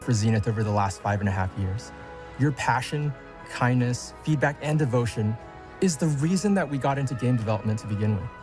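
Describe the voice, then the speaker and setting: Guy doing an earnest scripted company statement, medium pitch, music under it. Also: expressive, fairly quick. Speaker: game studio spokesman.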